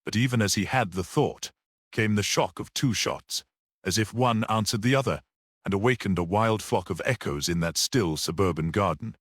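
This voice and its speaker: Deep-voiced man narrating a story dramatically. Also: normal speed, no room sound, clean studio sound. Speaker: audiobook narrator.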